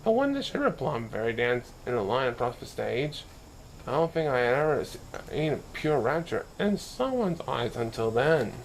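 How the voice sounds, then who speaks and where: Man, story reader, gravelly creak, read-aloud children's narration, occasional stumbles, faint background noise. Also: mid-range voice, big pitch swings, normal speed, poor audio. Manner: occasional stumbles and self-corrections while reading.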